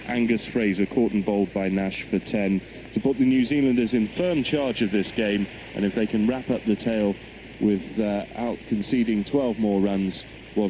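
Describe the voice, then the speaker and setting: Male cricket commentator, fairly low voice, live ball-by-ball radio style, clearly noisy shortwave reception. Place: cricket ground commentary position.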